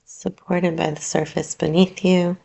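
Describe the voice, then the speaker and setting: A woman teaching yoga nidra, guiding a relaxation meditation in a soothing, unhurried, gently instructing way with long pauses. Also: lowish voice, level tone, vocal fry.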